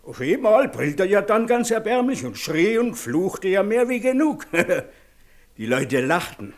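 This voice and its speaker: An actor playing a teasing, rough country horse dealer in a German radio play, telling things in folksy Silesian dialect. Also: male voice, sits high, very animated. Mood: amused, animated. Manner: folksy, drawn-out storytelling.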